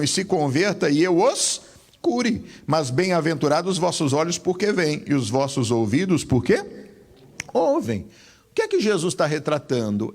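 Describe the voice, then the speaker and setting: A man's voice: fairly high pitch, darkish tone. A pastor preaching a sermon, with sweeping rises and drops in his voice.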